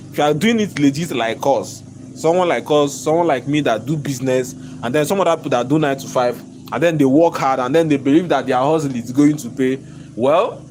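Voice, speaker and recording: A man, hoarse from losing his voice, ranting in a vlog from inside his own shop. He repeats himself emphatically, stumbles over his words and keeps breaking into laughter. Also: a little high, darkish tone, a little hiss or hum, rough recording. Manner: repetitive, emphatic, stammering restarts, bursts of laughter. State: hoarse, lost voice. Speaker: shop owner vlogger.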